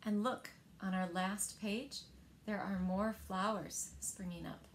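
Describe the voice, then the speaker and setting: A woman in her thirties reading a picture book aloud to children in a gentle, deliberate manner, pausing to ask them questions. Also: medium pitch, fairly even intonation, a little hiss or hum. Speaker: library storyteller. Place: indoors, small living room by windows.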